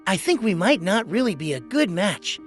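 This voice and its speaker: A woman's voice: medium pitch, very animated, moderate pace, natural voice. A woman voicing a cold, curt, impatient young boyfriend in a romance comic dub. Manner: curt, dismissive. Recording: music behind the voice.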